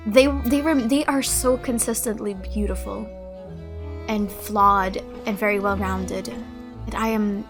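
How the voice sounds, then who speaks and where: A woman streamer giving casual, gushing gameplay commentary, emotional and close to tears, halting and repeating her words. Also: medium pitch, fairly even intonation. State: emotional, tearful. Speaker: streamer reacting to a game story. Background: game music.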